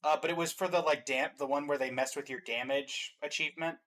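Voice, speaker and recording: A man's voice: a little high, fairly even intonation, fast, a bit bright. Wry man co-hosting game reactions. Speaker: reaction video co-host. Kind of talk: casual commentary on game trailers.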